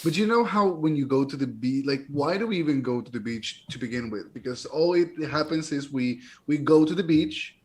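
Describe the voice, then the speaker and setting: A man's voice: on the high side, lively intonation, normal speed, hardly any pauses. A man chatting casually and telling crude, joking anecdotes in a loose group conversation over a video call. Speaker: improv tabletop show cast member. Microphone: remote video call on Zoom.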